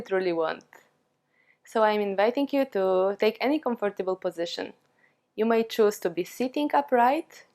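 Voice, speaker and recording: Slow-paced woman guiding a meditation. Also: medium pitch. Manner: calm, soothing, with long pauses between short phrases. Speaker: yoga and meditation teacher. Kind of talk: guided meditation.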